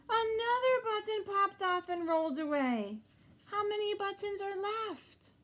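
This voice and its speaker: Woman, high voice, very unhurried pace, children's story read-aloud, sing-song and expressive, teacher addressing young kids. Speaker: kindergarten teacher reading aloud.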